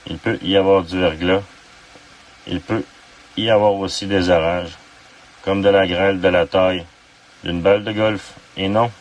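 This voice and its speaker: Deep-voiced man reciting poetry. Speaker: amateur poet. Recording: distant mic with faint background noise.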